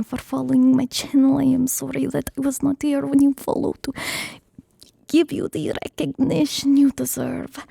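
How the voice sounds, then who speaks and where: A woman's voice: high voice, vocal fry, warm tone, dry recording. A woman ASMR streamer whispering a script reading and chatting playfully in jokey broken English with a put-on Russian accent, into a streaming microphone at home.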